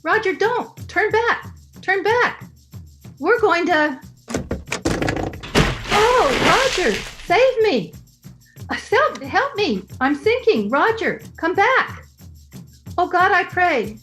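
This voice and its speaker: A woman's voice: very high voice, sing-song, takes it slowly, long pauses, music in the background, exaggerated voice. A woman playing an earnest, superstitious wife, theatrical in the manner of 1930s radio melodrama. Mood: terrified, pleading.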